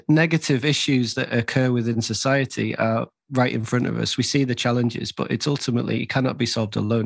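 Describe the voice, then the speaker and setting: Flat, gravelly-voiced podcast host. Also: male voice, mid-range voice, no room sound. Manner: measured, repeats phrases, many restarts. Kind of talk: conversational interview.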